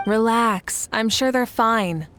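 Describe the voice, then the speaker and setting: A woman playing a calm, confident warrior leader in a video game, composed and reassuring. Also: a little high, slow. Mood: amused, reassuring. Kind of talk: animated video game acting. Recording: music behind the voice.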